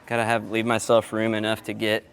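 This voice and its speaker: A man's voice: lowish voice, completely flat delivery, a little fast, fairly bright tone. A man working as a live sound engineer, giving casual running commentary as he works, muttering to himself and exclaiming. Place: event venue during setup. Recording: noisy on-location vlog audio with clatter.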